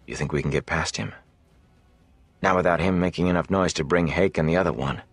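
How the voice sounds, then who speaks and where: A man's voice: low voice, a little fast, slightly rough, no room sound. A man voicing a sullen, uneasy young male fugitive in a fantasy audiobook, muttering. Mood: hushed, bitter.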